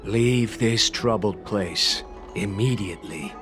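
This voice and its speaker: A man's voice: fairly low pitch, takes it slowly. A man playing a stern, disapproving official in a fantasy video game, speaking in a formal, blunt, admonishing way. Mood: stern warning. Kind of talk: scripted video game voice acting. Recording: music clearly behind the voice.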